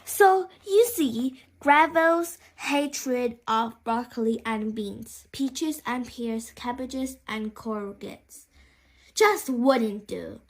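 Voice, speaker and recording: A woman reading a children's bedtime story aloud, haltingly, with small stumbles and repeated words. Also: a little high, animated.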